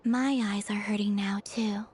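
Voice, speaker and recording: A woman playing a reserved, earnest young mystical maiden in an anime-style video game, speaking gently and hesitantly. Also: mid-range voice, fairly even intonation, a little slow, slightly rough. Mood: solemn, confiding.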